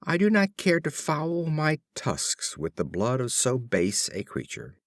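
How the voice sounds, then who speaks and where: A man's voice: medium pitch, sing-song, unhurried, no room sound, studio quality, voice pushed up. A man voicing a haughty wild boar, disdainful and weighty, in a storybook fable. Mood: haughty contempt. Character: haughty, nettled wild boar, scornful of inferiors.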